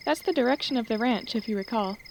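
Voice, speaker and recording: A woman playing a friendly, teasing young ranch girl in a fantasy audio drama, chatty and expressive. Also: fairly high pitch, fast talker, vocal fry, background noise. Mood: wistful, chatty.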